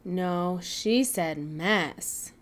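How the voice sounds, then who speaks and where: A woman's voice: on the low side, drawn-out words. A woman voicing a helpful young boy stegosaurus in a storybook, stressing key words as he corrects his friend. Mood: correcting.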